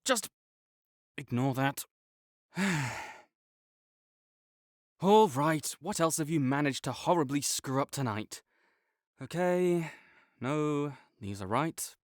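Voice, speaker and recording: A smug young academic rival, voiced by a performer teases and talks down with sarcastic, half-flustered condescension. The delivery is slow and drawn out, with pitch swinging widely from line to line. The voice sounds dry and close, with no room around it. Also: expressive, no room sound. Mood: defensive teasing. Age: young adult. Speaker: audio roleplay performer. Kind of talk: scripted audio roleplay acting.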